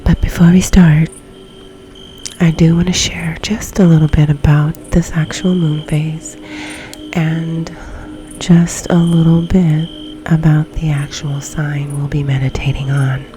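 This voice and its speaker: A woman leading a guided meditation in a soft, breathy, often near-whispered ASMR delivery, with long pauses between phrases. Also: deep voice, little pitch movement. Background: background music.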